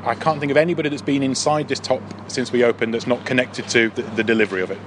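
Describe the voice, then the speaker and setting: A man's voice: medium pitch, fairly even intonation, quick pace, fairly bright tone. A British man giving interview answers in an emphatic way, often repeating words for stress. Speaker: theatre manager. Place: inside a circus big top tent. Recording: noisy location recording inside big tent.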